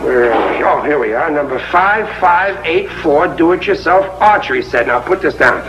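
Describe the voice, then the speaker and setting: A blustery middle-aged American dad in a 1950s TV comedy, high-voiced and swooping all over. He is stubborn, stammering and proud of his bumbling handiwork. The sound is a noisy, muffled old soundtrack. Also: animated, normal speed, fairly bright tone. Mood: stubborn, instructing. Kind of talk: 1950s television sitcom acting.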